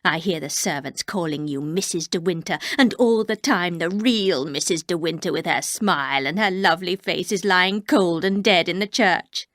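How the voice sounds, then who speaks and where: A woman puts on a bitter, menacing housekeeper who drags her words out slowly and sinks into a hard whisper. The voice sits a touch lower than the reader's own. It is recorded close and dry. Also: a bit bright, dry recording, natural voice. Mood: cold menace. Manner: slow, low, cold, often whispered.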